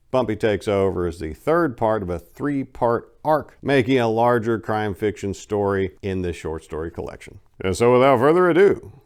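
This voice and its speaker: Theatrical, animated man narrating a story. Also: lowish voice. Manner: theatrical, emphatic. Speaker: channel host and story narrator. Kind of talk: dramatic story reading, scripted.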